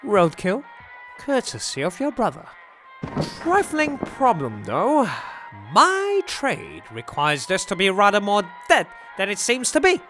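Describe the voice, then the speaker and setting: Wry, sardonic undertaker with lively pitch, acted out. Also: measured pace, soft background music. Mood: wry amusement. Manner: wry, drawling, darkly joking. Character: wry, sardonic cat undertaker moonlighting as a bootlegger. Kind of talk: audio drama acting.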